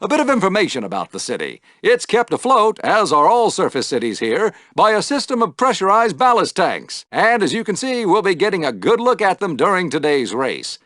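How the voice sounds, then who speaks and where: An exuberant sci-fi race announcer for a video game. The voice sits on the high side for a male and swings dramatically up and down, with showy, theatrical flair and a wry edge. The sound is dry and close, like a studio booth. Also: huge pitch swings, a little fast, hardly any pauses, a bit bright, no room sound, heavily acted. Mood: showy, enthusiastic. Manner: showy, dramatic broadcaster delivery. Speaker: sports announcer.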